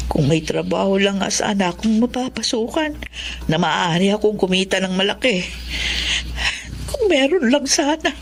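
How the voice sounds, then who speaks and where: A woman's voice: fairly high pitch, a little hiss or hum. A woman playing a worried, loving middle-aged mother from a poor family in a drama, earnest and sorrowful. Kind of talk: Filipino radio-style drama acting.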